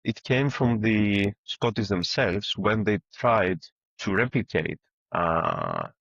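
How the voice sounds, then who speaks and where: A man from Greece, a historian guest speaking relaxed and conversational over a video call. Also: fairly low pitch, slow, gravelly, no echo, lo-fi sound. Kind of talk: remote interview.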